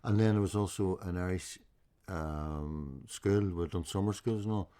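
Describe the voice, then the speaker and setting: A man's voice: low voice, moderate pace, dark tone, clean tone. A man hosting a podcast, telling anecdotes bluntly and swearing freely. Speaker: podcast host. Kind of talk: casual conversation.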